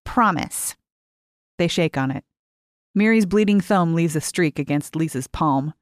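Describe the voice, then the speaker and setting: A woman reading short fiction as a scripted narration, measured and clearly articulated. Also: deep voice, a little mellow, no echo, studio quality. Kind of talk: scripted audiobook-style narration.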